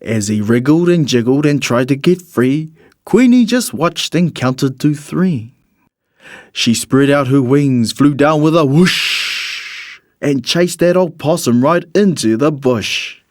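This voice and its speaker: A man reads a rhyming children's storybook in an expressive, measured storytelling manner. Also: a little high, no echo, clean studio sound. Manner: expressive, measured storytelling in the character of a bird narrator. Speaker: storybook reader.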